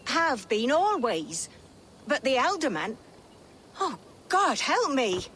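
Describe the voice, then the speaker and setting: An actress playing a weathered, poor elder sister in a video game, stern but tender, with earnest, old-fashioned phrasing. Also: female voice, sits high, sing-song, takes it slowly, a bit bright, slight background noise, heavily acted. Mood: moved, flustered. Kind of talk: dramatic video game acting.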